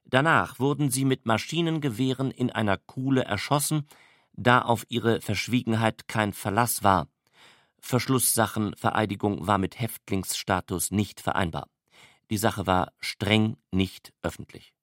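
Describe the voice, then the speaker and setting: A man's voice: fairly low pitch, a little slow, a bit bright, no room sound, clean studio sound. A man narrating a scripted text in the style of a factual report.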